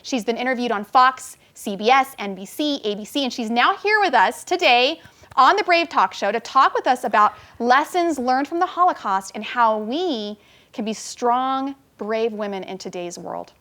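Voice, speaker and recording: A woman host with a slightly high voice reads an upbeat guest introduction. She delivers it in an announcing manner. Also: lively intonation, normal speed, pushed and bright. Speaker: talk show host.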